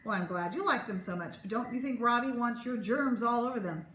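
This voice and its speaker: A woman's voice: a little high, fairly quick, fairly bright tone, lightly acted. Storybook mom, voiced by a female reader: cheerful, fussy, motherly; roomy echo, light noise. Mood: kindly. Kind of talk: children's storybook read-aloud.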